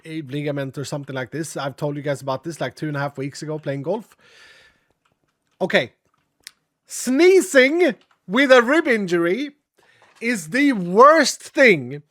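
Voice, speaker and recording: Streamer, male, sniffly and raspy from a cold, chatty and a bit dramatic, sits high in his range. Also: a bit bright. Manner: chatty, dramatic, thanks viewers often. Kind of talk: casual live-stream chat.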